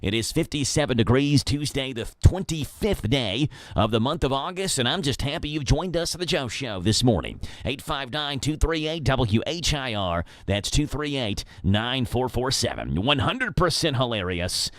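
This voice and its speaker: A man's voice: medium pitch, expressive, normal speed, fairly bright tone, no echo. A man with a Kentucky accent hosting a morning radio show, reading ads and weather in playful, sing-song patter. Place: radio studio. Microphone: studio mic. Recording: radio broadcast with music bed.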